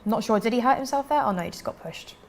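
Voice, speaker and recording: She is an English woman with a fairly high-pitched voice, hosting a live football watchalong. She moves between casual chat and loose match commentary, with some noise audible behind her. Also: lively intonation, quick pace.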